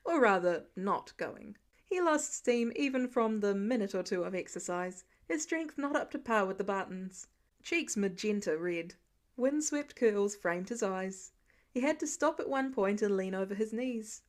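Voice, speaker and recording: A woman reading fiction aloud as an expressive, scripted story narration. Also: mid-range voice, a little slow. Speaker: audiobook-style fan fiction reader.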